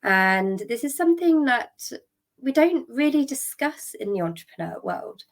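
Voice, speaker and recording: A middle-aged woman, hosting a solo podcast, a little croaky from a cold, talking openly and a bit wearily, with ums and restarts. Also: high voice, big pitch swings, a little slow. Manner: open and candid, thinking aloud, with ums and restarts; tired and drained. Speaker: podcast host. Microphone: podcast microphone.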